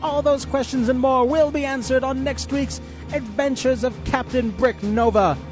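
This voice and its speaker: Old-time adventure serial announcer played by a man: high-pitched, theatrical and excitable, over light music. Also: moderate pace. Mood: suspenseful. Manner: theatrical, dramatic. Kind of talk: 1950s radio serial narration.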